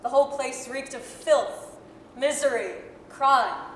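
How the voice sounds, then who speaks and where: A woman's voice: sits high, slow, fairly bright tone. A woman playing a grave storyteller, narrating a staged 1930s radio-style drama. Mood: grave, descriptive. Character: grave storyteller narrating a Victorian ghost tale. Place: large theatre hall, staged reading. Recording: echoey hall, faint noise.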